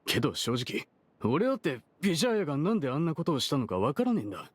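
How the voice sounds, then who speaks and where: An actor playing a brash, shifty perfume merchant in an anime game dub, talking in a rough, argumentative, casual way. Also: male voice, a little high, huge pitch swings, fast, dry recording, full character voice. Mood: defensive, persuasive. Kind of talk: Japanese anime game dubbing.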